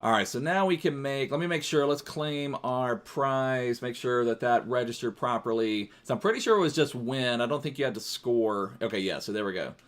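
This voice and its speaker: A man's voice: medium pitch, lively intonation, moderate pace, hardly any pauses. Chatty male game streamer. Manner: chatty, rambling, greets and thanks viewers. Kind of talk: casual live-stream gaming chat.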